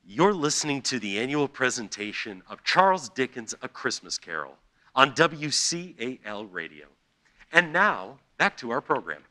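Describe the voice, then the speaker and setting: A man's voice: medium pitch, big pitch swings, moderate pace, sharp tone, dry recording. A man narrating a scripted radio play as storyteller and announcer.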